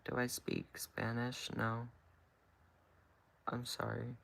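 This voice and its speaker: Creaky, flat-toned young American man. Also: mid-range voice, slow, slight background noise. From California, United States. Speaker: livestreamer. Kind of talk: casual livestream chat, replying to viewers.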